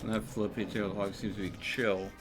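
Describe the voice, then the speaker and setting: A man's voice: fairly low pitch, little pitch movement, moderate pace. A man giving casual live gameplay commentary, thinking aloud with playful exclamations. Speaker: video game streamer. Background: video game music.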